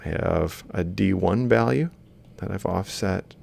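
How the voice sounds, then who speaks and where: Deep, very creaky man teaching. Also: a little slow, a little mellow. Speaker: robotics lecturer. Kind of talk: explaining step by step while drawing.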